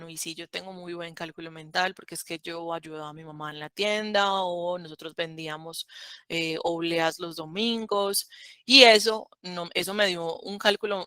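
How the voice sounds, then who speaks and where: A woman's voice: on the low side, pushed and bright, smooth, no echo. Chatty woman teaching math. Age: 30s. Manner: conversational, checks with "¿cierto?". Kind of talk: online class lecture.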